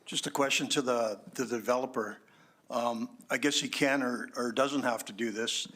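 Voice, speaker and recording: Male councillor, informal halting questions, mid pitch. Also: fairly even intonation, a bit bright. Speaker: city councillor.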